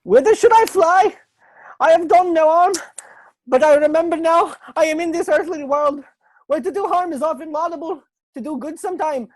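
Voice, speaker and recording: A man's voice: very high voice, normal speed, dry recording, low-quality recording. A man playing an anxious young actor who takes women's parts in an audio play, nervous and theatrical. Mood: theatrical, pleading. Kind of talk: stage-style audio drama acting. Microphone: video call recording.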